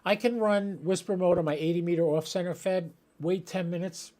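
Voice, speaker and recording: Guy doing a casual live walkthrough for his viewers, voice a bit on the high side, kinda thinking out loud as he clicks around. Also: fairly even intonation, a little fast. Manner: informal, thinking aloud while working at a computer. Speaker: host of a ham radio channel.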